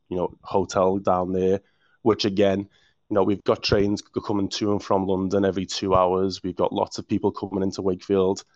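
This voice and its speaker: Enthusiastic Liverpool-accented man, council official; low, level voice, muffled dry audio. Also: a little mellow, no room sound. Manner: enthusiastic, restarts words often. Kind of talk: interview answers.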